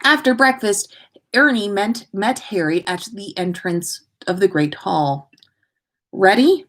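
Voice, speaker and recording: A woman host reading fan fiction aloud in an expressive way, with small stumbles and self-corrections. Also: mid-range voice, measured pace. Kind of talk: expressive story reading, livestream.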